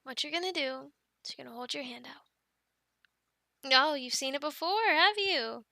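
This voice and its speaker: A woman's voice: high voice, expressive, unhurried, gravelly, pushed and bright, dry recording, studio quality, exaggerated voice. A woman playing a friendly, encouraging dragon keeper in an ASMR roleplay, chatting playfully with the listener and cooing to animals like pets. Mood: gentle, instructing.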